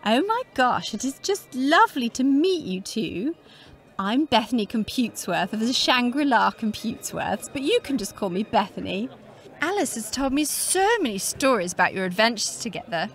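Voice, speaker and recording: A woman playing a naive, snobbish high-society lady, gushing and theatrical, in a comic sci-fi audio drama. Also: very animated, normal speed. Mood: gushing, curious.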